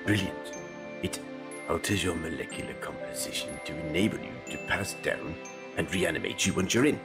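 A man playing a gruff, suspicious alien warrior in an audio drama, gravelly, with a pompous, blunt and formal manner. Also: sits low, lively intonation, normal speed, loud music behind the voice. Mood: curious. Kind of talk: fan audio drama acting.

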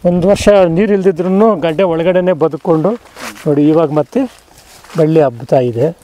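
An older man with a somewhat high voice walks through his orchard, speaking unhurriedly and restarting words as he points things out. He is recorded outdoors on a rural farm on a clip-on mic, with nature sounds behind him. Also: warm tone, slight background noise. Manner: slow, halting, with many restarts and pauses. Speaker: farmer showing his orchard. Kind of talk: casual farm walk-through. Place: outdoors on a dry rural farm among trees.

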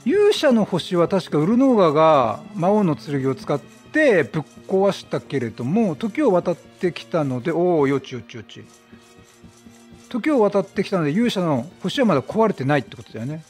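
Chatty, high-voiced male game streamer. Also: very animated, normal speed, a little mellow. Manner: chatty, teasing, reactive. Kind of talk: casual let's play commentary. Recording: game music behind the voice.

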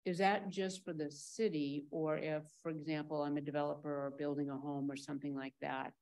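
Woman, low voice, councilmember, direct conversational questions, thinks aloud, desk mic in a council chamber. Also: level tone, a little fast, darkish tone.

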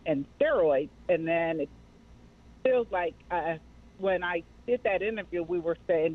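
American woman on a tinny, band-limited line. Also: on the low side. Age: middle-aged. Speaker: guest, mental health nonprofit director. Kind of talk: conversational interview. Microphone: remote call. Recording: narrow tinny radio-like call audio.

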